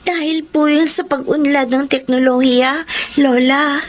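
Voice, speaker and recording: A woman's voice: high voice, fairly even intonation, measured pace, a little hiss or hum. A woman playing a respectful, plaintive young blind granddaughter in a Filipino radio drama, with a Filipino accent. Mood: gentle, respectful. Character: blind, hurt but devoted young granddaughter, a student.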